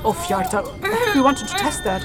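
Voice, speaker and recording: Fantasy audio drama adventurer: supportive, a bit prickly, matter-of-fact, off-mic with music behind. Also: female voice, on the high side, very animated, normal speed. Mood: encouraging. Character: supportive, practical, slightly prickly magic-wielding adventurer. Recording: distant, echoing hall, music underneath.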